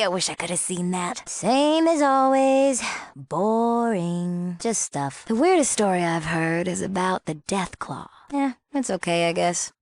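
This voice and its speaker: A restless young small-town woman with an American accent for a video game. She is casual, girlish and sardonic, at a medium pitch in a dry, close sound. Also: dry recording. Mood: bored. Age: young adult. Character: bored, sassy small-town young woman craving adventure. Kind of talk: video game character acting.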